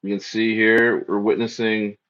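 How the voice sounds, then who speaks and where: A man's voice: lowish voice, little pitch movement, unhurried, a bit bright, dull audio. Rambling man hosting a crypto stream. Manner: loose and repetitive, echoes phrases, reads chat aloud. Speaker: crypto livestream host. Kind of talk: casual livestream chat.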